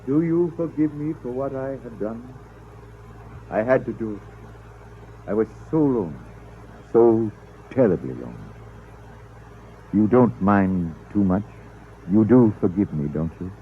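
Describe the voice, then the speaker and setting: A man acting in a 1950s radio drama as a frail, lonely old man, halting, exhausted and pleading, on a tinny old recording. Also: on the low side, expressive, a little hiss or hum. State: weak, exhausted.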